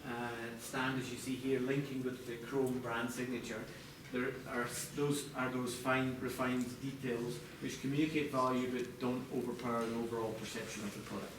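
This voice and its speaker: A man presenting product design live to a group, explaining in a halting way with corporate phrasing. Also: medium pitch, level tone. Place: large echoey indoor venue, apparently a hotel. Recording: echoey noisy room, distant mic.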